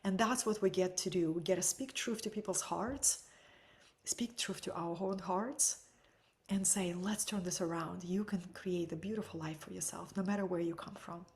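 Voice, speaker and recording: A woman with a Russian accent, teaching an online webinar from home. She speaks softly and sometimes drops into a breathy whisper. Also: mid-range voice. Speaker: energy healing teacher.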